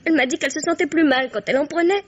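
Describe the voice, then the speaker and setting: An actress plays a headstrong young woman of twenty in a vintage French radio mystery. Her voice is very high, breathless and stammering, full of melodramatic anguish. The recording is dull and old-fashioned. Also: rapid-fire, close to an everyday voice. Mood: anxious, distraught. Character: anguished, headstrong twenty-year-old daughter accusing her elders of poisoning.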